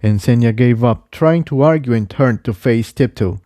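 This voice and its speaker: A man reads an adventure story as narrator. His mid-pitched voice is mellow and soft-edged, recorded dry and close. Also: moderate pace, no room sound. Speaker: fan fiction reader, narrator.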